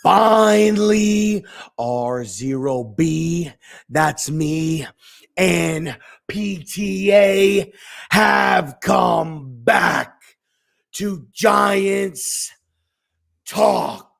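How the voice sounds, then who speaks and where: Male co-host, hoarse and raspy, high gravelly voice, slow deliberate hype-promo delivery. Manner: brash, shouty trash talk. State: hoarse from screaming. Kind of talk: wrestling-promo hype talk.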